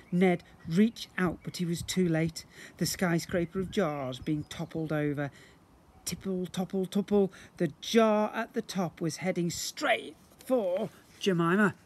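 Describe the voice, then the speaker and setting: A British man in his fifties reads a children's storybook aloud like a teacher, theatrically, with drawn-out emphasis and acted sound words. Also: high voice. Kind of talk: expressive children's storybook reading. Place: outdoors beside brick houses. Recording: noisy outdoor amateur video recording.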